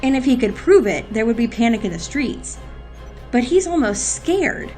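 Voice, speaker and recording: A woman plays a commanding agency boss in an audio drama. Her voice is fairly high, with a dry, decisive delivery and faint music behind it. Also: big pitch swings, moderate pace, a little mellow. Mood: thoughtful, assertive.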